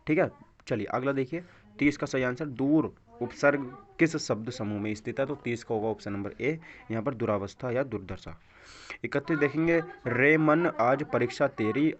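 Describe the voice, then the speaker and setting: A man teaching in an instructional style, going through quiz questions and repeating answers with short check questions. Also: medium pitch. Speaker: exam coaching teacher. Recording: dull, lo-fi microphone sound.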